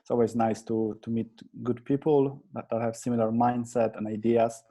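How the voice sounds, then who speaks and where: Soft-toned Polish man, hesitant. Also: mid-range voice, level tone, normal speed. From Poland. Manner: hesitant, many restarts and fillers, earnest. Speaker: interview guest, agency founder. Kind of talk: conversational interview.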